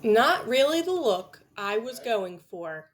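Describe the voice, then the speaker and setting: A woman voicing an ordinary, self-deprecating, fretful sheep in a children's storybook, with a wry, drawn-out delivery. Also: fairly high pitch, big pitch swings, heavily acted. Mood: rueful.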